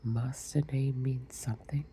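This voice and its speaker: A woman's voice: rumbling low, fairly even intonation, unhurried, warm tone, slight background noise. A woman whispering as polite young Alice. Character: polite, curious little girl. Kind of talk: whispered storybook reading.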